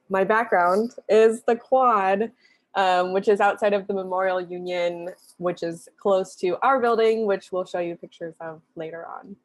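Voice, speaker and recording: A woman advisor from Southern California introducing herself on a video call in a plain, steady way. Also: mid-range voice. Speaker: academic advisor. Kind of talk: webinar self-introduction.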